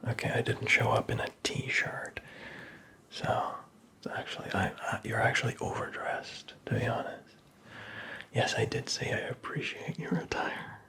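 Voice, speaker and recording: A man playing a flirtatious, flustered prince in an ASMR roleplay, speaking in a soft, breathy whisper with halting, teasing phrasing. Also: medium pitch. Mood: playful, sheepish. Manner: halting, teasing, awkwardly self-correcting.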